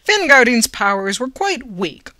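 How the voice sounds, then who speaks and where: Casting: amateur storyteller for a fantasy tale, lively pitch swings, bright tone, theatrical with tongue in cheek. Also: a little slow, no room sound, clean studio sound. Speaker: amateur reader. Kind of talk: dramatic reading of a fantasy story, narrated.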